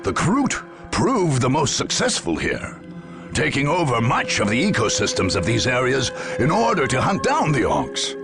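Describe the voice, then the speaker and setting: Solemn, gravel-edged war chronicler. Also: male voice, medium pitch, huge pitch swings. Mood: grave, proud. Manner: solemn, measured, theatrical. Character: grave male chronicler narrating a war history. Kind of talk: scripted dramatic game narration. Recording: music behind the narration. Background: music.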